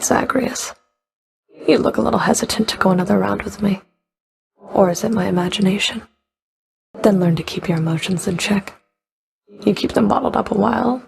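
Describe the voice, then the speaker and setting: Casting: stern female Fury guard for a video game; gravelly creak, mid pitch, cool sardonic taunts, curt commands. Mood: stern, challenging. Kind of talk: video game character voice lines.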